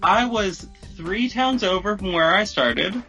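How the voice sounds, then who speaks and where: A man telling a personal story as a podcast guest in a casual, animated, profane, slangy way. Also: high voice, measured pace, soft background music, low-quality recording.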